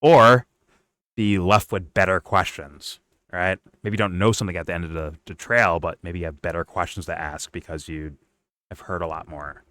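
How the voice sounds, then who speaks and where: A man's voice: sits low, steady tone, normal speed, fairly bright tone, no echo. A man hosting a casual reaction chat, thinking aloud and checking in with "right?". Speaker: host.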